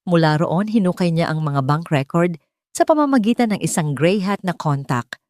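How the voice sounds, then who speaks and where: A woman's voice: lowish voice, lively intonation, moderate pace, darkish tone, dry recording, studio quality. A woman with a Filipino accent reading a dramatic romance story as a scripted audiobook narration.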